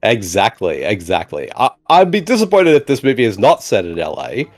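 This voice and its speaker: Animated man hosting a movie podcast. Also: on the low side, a little fast. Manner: animated, laughs often, repeats "exactly" and "indeed". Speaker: podcast host. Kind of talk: casual movie commentary chat.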